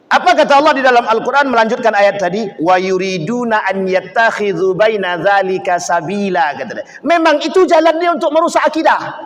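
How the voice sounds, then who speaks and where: Animated male preacher in a reverberant hall. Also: sits high, fairly quick. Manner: animated, acts out quoted voices, questions the audience. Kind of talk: sermon to a congregation.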